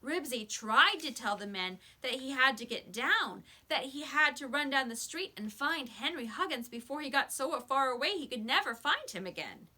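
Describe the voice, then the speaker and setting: A woman reading a children's story aloud with expressive, scripted narration. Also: high voice, moderate pace, hardly any pauses.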